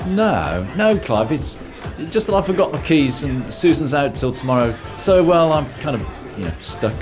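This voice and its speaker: A man's voice: medium pitch, sing-song, normal speed, natural voice. A man in an amateur radio play acting a desperate, secretive friend with his hopes on the lottery, over music. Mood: awkward, sheepish.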